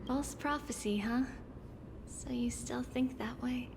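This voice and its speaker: A woman voicing a grown, weary and protective prophetess in a fantasy game, speaking in a hushed, deliberate way. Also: fairly high pitch, creaky, noisy background. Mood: weary, challenging. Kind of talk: dramatic fantasy game dialogue. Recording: game music behind the voice.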